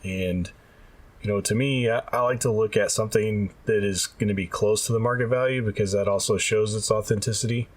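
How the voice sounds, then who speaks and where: A man's voice: fairly low pitch, fairly even intonation, a little fast. A man talking casually as a podcast co-host, sounding a little distant from the microphone.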